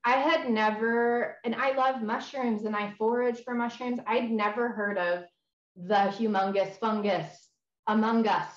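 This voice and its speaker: A woman interviewing an author over a video call, enthusiastic and agreeable, often answering with a quick "yeah". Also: a little high, fairly even intonation. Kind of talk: casual video-call conversation. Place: home room with red walls and shelves. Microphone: video call webcam. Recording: roomy, dull webcam sound.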